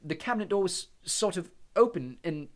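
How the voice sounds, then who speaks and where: A man's voice: sits high, animated, normal speed, a little mellow, voice pushed up. A man voicing a nervous, shaken schoolboy who talks in flustered bursts. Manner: flustered, shaky. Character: nervous shaken boy student.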